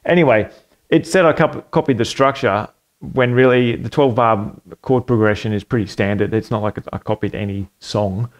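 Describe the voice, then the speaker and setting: A man in his forties talks in a relaxed, rambling way, with plenty of fillers and restarts. He speaks at a middle pitch, recorded on a desk camera mic in a small home studio room. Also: moderate pace. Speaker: home music producer and channel host. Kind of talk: casual walkthrough of a home recording.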